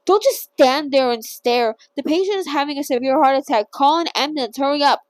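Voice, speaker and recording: A woman's voice: sits high, fairly quick, no room sound, close to an everyday voice. A woman voicing a stern, commanding male former doctor in a storybook reading. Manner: curt, commanding.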